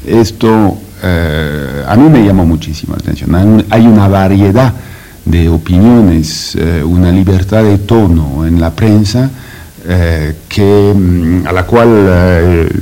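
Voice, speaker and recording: A man with a French accent answering interview questions in careful, halting speech full of hesitations and restarts. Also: deep voice, vocal fry, warm tone. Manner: slow, halting with many "eh" fillers and restarts. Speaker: interview guest, French academic.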